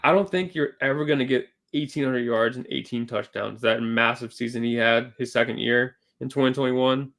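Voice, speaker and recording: A man co-hosting a casual sports podcast, talking loosely with fillers and self-corrections, a little hoarse from losing his voice. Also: mid-range voice, normal speed, hardly any pauses, fairly bright tone. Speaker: podcast co-host.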